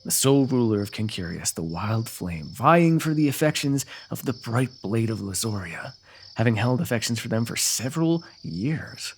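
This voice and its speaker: A man's voice: medium pitch, expressive, normal speed, a little hiss or hum, lightly acted. A man playing a teasing, lovestruck royal ruler with courtly, formal phrasing in an ASMR fantasy roleplay. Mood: wry, wistful.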